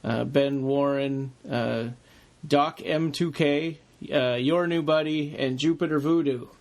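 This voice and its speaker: A man's voice: fairly high pitch, fairly even intonation, takes it slowly. A man co-hosting a podcast in a casual, chatty style. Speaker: podcast co-host.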